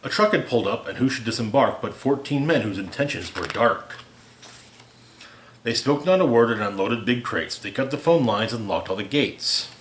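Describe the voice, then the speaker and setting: A man with a medium-pitched voice reads a rhyming holiday story aloud. His delivery is theatrical and deliberate, shaped around the verse. The recording is roomy and echoey, like a home reading. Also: lively intonation, a little hiss or hum. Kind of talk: expressive read-aloud of a rhyming holiday parody.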